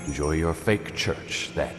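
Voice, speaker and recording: A man's voice: extremely low pitch, a little slow. A man voicing a proud, melodramatic vampire in a video game, with a haughty, theatrical delivery. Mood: sullen, disdainful. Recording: long hall reverb, music behind.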